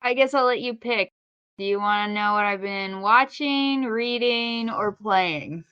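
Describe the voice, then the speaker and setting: A woman's voice: mid-range voice, measured pace, clean tone, no echo, dull audio. A woman co-hosting a casual podcast, chatty and opinionated, with wry, rambling asides. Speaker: podcast co-host.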